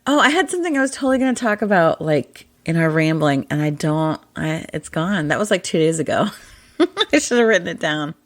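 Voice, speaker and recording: A woman co-hosting a podcast in casual chat, husky in her morning voice, chatty and laughing often. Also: low-pitched, lively intonation, a little fast, no room sound. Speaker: podcast co-host.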